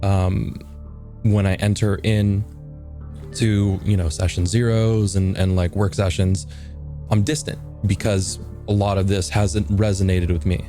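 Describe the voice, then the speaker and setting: Man, lowish pitch, warm tone, hesitant, earnest, reflective tabletop roleplay, faint music. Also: level tone, measured pace. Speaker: tabletop role-playing player. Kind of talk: slow reflective improvised character talk.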